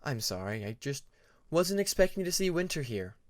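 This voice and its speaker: A man voicing a soft-spoken, thoughtful female dragon in a storybook reading, gentle and hesitant. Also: fairly high pitch, very animated, moderate pace, no room sound, studio quality.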